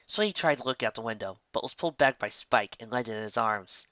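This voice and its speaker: A man's voice: medium pitch, animated, brisk, pushed and bright, no room sound. A man narrating a fan fiction story expressively, stumbling over words now and then, on a dull lo-fi recording.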